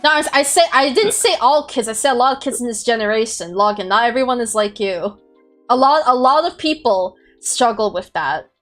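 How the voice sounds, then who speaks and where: A woman's voice: fairly high pitch, lively intonation, fairly quick, a bit bright, soft background music. A woman in her twenties hosting a podcast, talking in a rambling, reflective way with many fillers and restarts. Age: 20s. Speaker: podcast host. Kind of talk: casual chat on a group call.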